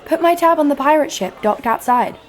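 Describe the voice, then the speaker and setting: A woman's voice: sits high, expressive, a little fast, some chatter behind. A woman playing a cocky, foul-mouthed adventurer, narrating and speaking in an audio drama with a sardonic manner. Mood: irritated, brazen. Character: brash, sarcastic, foul-mouthed female adventurer narrating her exploits. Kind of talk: first-person audio drama narration and dialogue.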